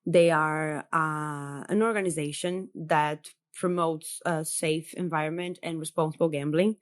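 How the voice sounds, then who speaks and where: A woman who is a native Portuguese speaker, joining a podcast remotely as a journalist guest. Her voice sits low, and she talks in a chatty, loose way with many fillers. She often laughs lightly between points. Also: steady tone, unhurried. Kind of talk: casual podcast interview. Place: home in Brazil, remote call.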